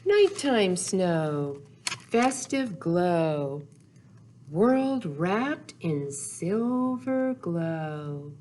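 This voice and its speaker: Casting: woman, children's storytime reader, highly animated pitch, unhurried sing-song delivery, slight background noise. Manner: sing-song, simple phrasing aimed at young children. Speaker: children's library storytime host. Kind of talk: children's storytime with read-aloud, songs and questions.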